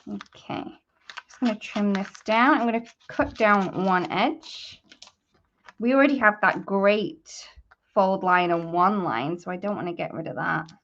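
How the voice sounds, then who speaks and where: A woman's voice: mid-range voice, a little slow, fairly bright tone, dull audio. A woman hosting a live craft tutorial, chatting informally to viewers and thinking aloud as she works. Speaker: host of a live papercrafting demonstration.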